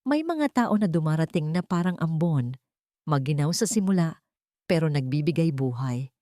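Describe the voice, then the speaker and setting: A woman narrating a scripted audio drama in a measured, earnest, dramatic storytelling manner. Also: low voice, darkish tone, no echo, clean studio sound.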